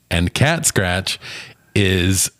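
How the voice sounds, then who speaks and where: A man's voice: low-pitched, expressive, drawn-out words, a bit bright, no room sound, studio quality. A man co-hosting a casual movie discussion, swearing freely and often chuckling. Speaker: podcast co-host reviewing films.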